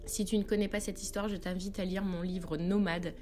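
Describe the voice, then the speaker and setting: A woman's voice: medium pitch, little pitch movement, fairly quick, darkish tone. A woman from France narrating a travel vlog in an informal, confiding way, speaking directly to the viewer. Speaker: travel vlogger. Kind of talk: chatty voice-over narration of a road trip. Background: background music.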